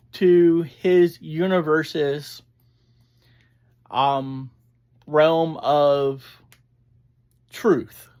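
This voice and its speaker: A reviewer, chatting casually in a halting, digressive way as host of a review. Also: mid-range voice, a little mellow. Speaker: audio drama reviewer, host. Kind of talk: casual rambling review chat.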